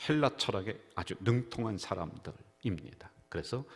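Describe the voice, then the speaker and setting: A male pastor with a fairly low voice delivers a Sunday sermon, weaving in stories and slipping into the voices of the people he quotes. His words ring through a church sanctuary with a long echo. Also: expressive, normal speed. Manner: storytelling preacher who acts out quoted voices. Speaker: senior pastor. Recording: reverberant church hall.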